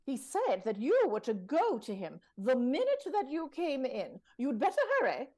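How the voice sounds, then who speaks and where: A fussy urgent housekeeper in an old radio play, high voice, super up and down. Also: female voice, huge pitch swings, moderate pace, darkish tone, full character voice. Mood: flustered, urgent. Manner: urgent, newsy, deferential to her master. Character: fussing, dutiful female housekeeper of an English country house. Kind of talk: vintage radio drama acting.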